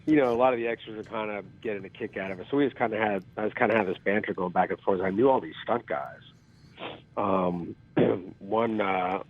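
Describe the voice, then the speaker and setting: A man with a very low, raspy voice recounts stories in a loose, rambling way with filler ums and a self-deprecating streak. The sound is thin and phone-like, as if he is calling in. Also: brisk. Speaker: actor guest. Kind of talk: casual interview storytelling. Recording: phone-like call audio.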